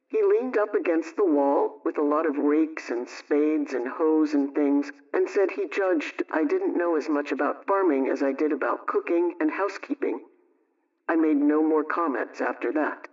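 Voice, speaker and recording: Male radio advice presenter, scripted delivery, fairly high register, muffled lo-fi broadcast sound. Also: darkish tone. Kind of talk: scripted radio question-and-answer reading. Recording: dull, distant, band-limited radio sound.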